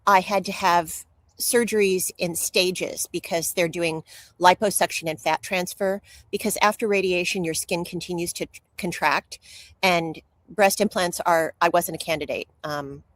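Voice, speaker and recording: A woman with a slightly low-pitched voice answers as an interview guest. She tells personal stories candidly and openly, like a writer happy to share everything. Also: steady tone, moderate pace, no room sound, rough recording. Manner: candid, open oversharer.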